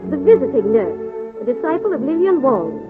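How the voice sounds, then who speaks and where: A woman delivers formal, dramatic vintage radio narration. Her voice is high and sweeps widely. It comes through a thin, tinny old recording. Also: wildly swooping pitch, brisk. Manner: declamatory, formal. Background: music.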